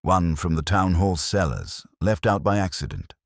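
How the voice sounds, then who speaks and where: A man's voice: low-pitched, very animated, normal speed, vocal fry, no room sound, studio quality, voice pushed up, exaggerated voice. A man putting on chattering pub gossips. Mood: gossipy. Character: gossiping small-town pub regulars.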